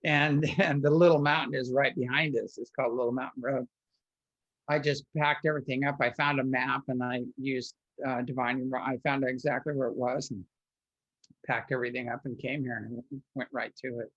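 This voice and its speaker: A man's voice: mid-range voice, flat delivery, a little fast, fairly bright tone, no echo. Rambling man on a dull, dry recording. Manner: rambling, with frequent restarts and filler words. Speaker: guest. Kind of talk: personal storytelling in an interview.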